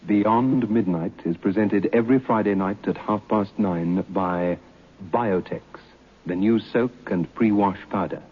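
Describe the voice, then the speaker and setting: A male announcer reads programme credits in a low, husky voice. The vintage radio recording is tinny and narrow, almost like a phone line. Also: a little slow.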